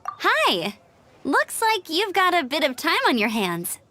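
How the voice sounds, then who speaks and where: A woman's voice: very high voice, wildly swooping pitch, slow, sharp tone, heavily acted. A woman playing a perky, friendly young scout knight in a video game. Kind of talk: video game character voice acting.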